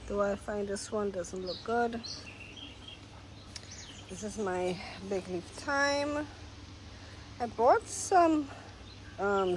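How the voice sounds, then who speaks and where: Woman vlogger, nasal from a cold, slow and easygoing; outdoor take with clear birdsong behind. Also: medium pitch, noisy background. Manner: slow, unhurried, pointing out plants one by one. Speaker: gardening vlogger. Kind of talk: casual garden tour narration. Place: outdoor garden. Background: birds singing.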